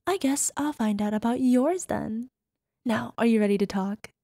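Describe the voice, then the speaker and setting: Woman acting as playful affectionate wife, ASMR roleplay, flirty, breathy asides, touch of fry, close dry sound. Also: on the high side, normal speed, dark tone, dry recording. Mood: mock-indignant, teasing. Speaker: voice actor.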